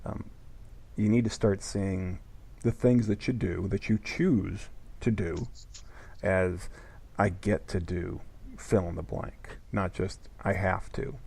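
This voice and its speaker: Male interview host, low gravelly husky voice, reflective and thoughtful. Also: fairly even intonation, takes it slowly, mellow. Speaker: podcast host. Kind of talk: interview questions.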